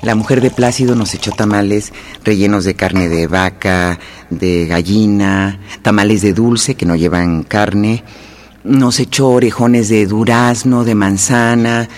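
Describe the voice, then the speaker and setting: A man acting in a radio play as a plain-spoken rural Mexican fugitive rebel, recounting his flight in a conversational, unhurried way. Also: on the low side, darkish tone, natural voice. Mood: matter-of-fact, homely. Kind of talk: radio drama monologue, first-person storytelling.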